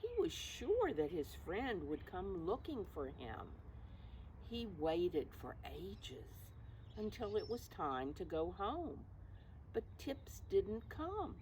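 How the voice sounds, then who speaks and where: A woman's voice: fairly low pitch, darkish tone, slight background noise. A woman reading a picture book aloud to young children, explaining it in simple phrases and asking the listeners questions. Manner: slow, simple phrasing that addresses small children.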